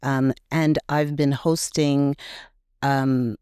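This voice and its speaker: Podcast guest: middle-aged woman from Montreal, smooth voice, dry close mic, reflective and unhurried. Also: extremely low pitch, steady tone, no room sound. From Montreal, Canada. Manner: warm, reflective, many "um" pauses. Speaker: podcast guest, grief support group co-founder. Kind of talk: casual interview conversation.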